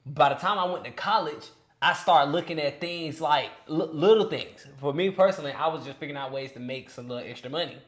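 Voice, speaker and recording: A man's voice: on the high side, brisk, hardly any pauses. A man in his twenties talking casually on a podcast, loose and earnest, often adding "you feel me" and "bro". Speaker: podcast speaker. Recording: echoey room.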